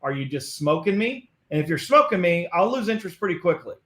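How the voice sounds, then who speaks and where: A man's voice: high voice, lively intonation, fast talker, a bit bright, reverberant. A man talking as a guest in a casual interview, earnest and often repeating words as he starts a thought. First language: English.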